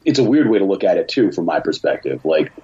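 A man's voice: sits low, big pitch swings, quick pace, mellow, husky. A man speaking as a podcast guest over an internet call, giving his opinions bluntly and colloquially with emphatic repetition. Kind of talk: casual opinionated discussion. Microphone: remote internet call. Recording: dull lo-fi internet call audio.

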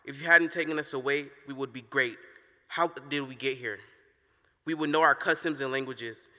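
A man's voice: medium pitch, fairly even intonation, moderate pace, pushed and bright. A man performing a spoken word poem on a live stage, impassioned and mournful. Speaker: slam poet. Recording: reverberant hall.

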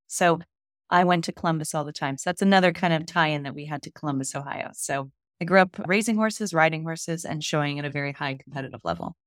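A woman in her thirties, a real estate investor, talking upbeat and quick to laugh as an interview guest. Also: low-pitched, no echo, clean studio sound. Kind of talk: casual interview chat.

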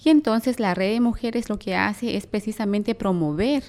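A woman's voice: medium pitch, moderate pace, darkish tone. A young woman with a Guatemalan accent, speaking earnestly as an activist guest in an interview. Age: young adult.

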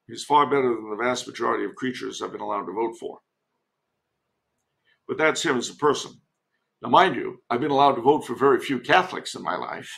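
A man from the United States giving conversational commentary as a podcast guest, in a wry, measured, deliberate way. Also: medium pitch, creaky, a bit bright.

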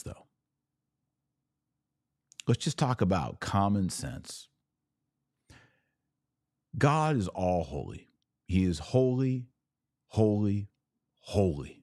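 A man's voice: deep voice, dark tone, no room sound, clean studio sound. A man hosting a religious podcast, explaining in an emphatic monologue with rhetorical questions and repeated key words. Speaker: podcast host.

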